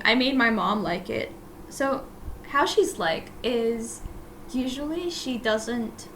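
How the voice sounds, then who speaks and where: A woman whose first language is Russian, hosting a casual solo podcast, rambling and self-deprecating with soft laughs and plenty of "like" fillers. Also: fairly high pitch, a little hiss or hum. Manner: chatty, full of "like" fillers and restarts. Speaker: podcast host.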